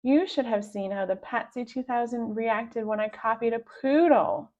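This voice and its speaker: A woman's voice: a little high, normal speed, darkish tone, lo-fi sound, close to an everyday voice. A woman voicing a nerdy, self-important boy inventor who speaks pompously and precisely in a children's storybook. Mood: proudly boastful. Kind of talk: children's storybook read-aloud.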